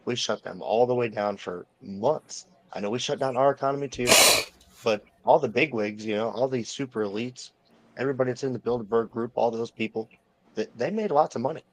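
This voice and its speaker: A man's voice: medium pitch, brisk, a little mellow, slight background noise, low-quality recording. Profane man rambling in casual talk. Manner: profane, insistent, repeats himself. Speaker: talk show co-host. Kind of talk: casual rambling conspiracy chat.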